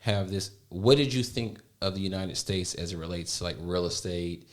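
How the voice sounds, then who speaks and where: A man hosting a casual podcast interview, opening brightly and prompting his guest with quick questions and frequent restarts. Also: low voice, steady tone. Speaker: podcast host.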